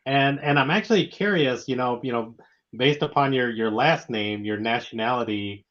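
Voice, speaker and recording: A man hosting a casual interview, speaking informally and haltingly, often repeating his words. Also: mid-range voice, a bit bright. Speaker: interviewer, show host. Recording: dull lo-fi online audio.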